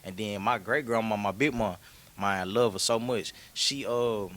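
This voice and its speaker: Young rapper guy, low voice, easy slangy storytelling. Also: normal speed, a bit bright. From Memphis, Tennessee. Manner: laid-back, slangy, storytelling with frequent "you feel me". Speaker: rapper guest. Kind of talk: casual interview chat.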